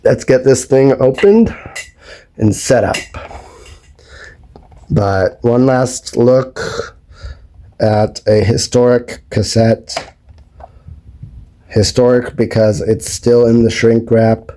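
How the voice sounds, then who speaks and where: A man talking casually as a video host, explaining things step by step in a rambling, unhurried way. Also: mid-range voice, steady tone, warm tone. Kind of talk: casual explanatory vlog talk. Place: indoors at home, behind the camera.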